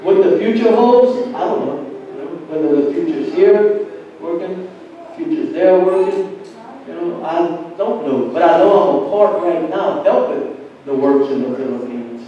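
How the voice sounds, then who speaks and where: A man giving a personal testimony in church in a conversational, halting storytelling way, acting out bits of dialogue. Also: high voice. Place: large church sanctuary, indoors. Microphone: far camera at back of the room. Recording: echoey church hall, distant mic, muffled, noisy.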